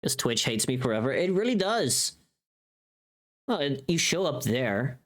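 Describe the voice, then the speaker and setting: A woman with a low voice that swings widely in pitch. She chats casually as a livestream host, playfully teasing her viewers and swearing freely, with expressive bursts of mock outrage. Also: measured pace.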